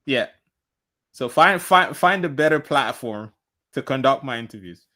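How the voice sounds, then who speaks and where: A man's voice: mid-range voice, lively intonation, a little slow, pushed and bright. A man from Nigeria hosting a podcast, casual and agreeable, laughing easily and restarting his sentences. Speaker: podcast host. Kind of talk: casual interview chat.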